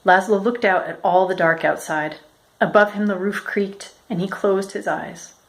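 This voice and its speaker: A woman reading a children's picture book aloud as a storyteller, in a measured, expressive way. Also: medium pitch, low-quality recording. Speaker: storyteller, educator.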